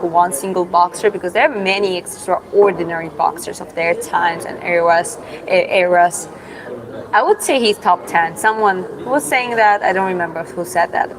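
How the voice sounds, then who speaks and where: Young Georgian woman streamer, lowish voice, halting speech, crowd noise behind. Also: rough recording. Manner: hesitant, many fillers and restarts. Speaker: livestream host. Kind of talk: casual livestream chat reading comments.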